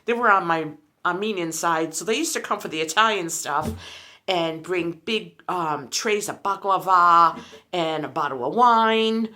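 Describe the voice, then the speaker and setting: A woman's voice: on the low side, measured pace, pushed and bright. A woman in her sixties, a lifestyle vlogger, chatting in a rambling, storytelling way. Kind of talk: casual chat.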